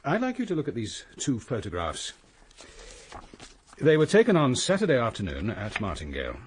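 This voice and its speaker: An actor playing a coolly courteous, relentless police inspector in a radio drama, formal and probing. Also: male voice, mid-range voice, wildly swooping pitch, moderate pace, a little hiss or hum. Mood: probing, controlled.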